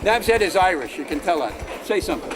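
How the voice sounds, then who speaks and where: Boisterous high-voiced man roaming a tournament. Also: sing-song, brisk, music in the background. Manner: joking, boisterous. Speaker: on-site interviewer at a tournament. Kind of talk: loud roving street interview.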